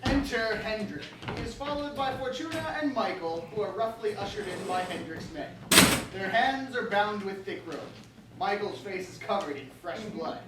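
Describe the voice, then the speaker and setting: A man with a high voice reads scripted stage directions as narrator. He is heard from a distance in a very echoey room with clear background noise. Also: moderate pace. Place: reverberant indoor space, far from the mic.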